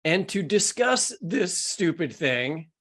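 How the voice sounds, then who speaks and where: A man's voice: high-pitched, sing-song, takes it slowly, fairly bright tone. An American man co-hosting a podcast, moving between scripted introductions and informal, joking conversation. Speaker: podcast co-host.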